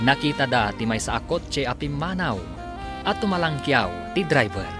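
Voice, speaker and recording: A man's voice: mid-range voice, expressive, normal speed. A man with a Filipino accent reading dramatic scripted narration as the announcer of a radio drama. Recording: music bed under radio narration.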